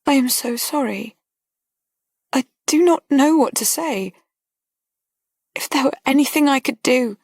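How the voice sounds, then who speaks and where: Brief: a woman playing a high, tremulous young lady on the edge of tears, breathy and pleading, storybook reading. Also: measured pace, pauses often, a little mellow, no room sound, studio quality, exaggerated voice. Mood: earnest. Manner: breathless, pleading, breaking into whispers. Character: anguished young woman, tearful and trembling.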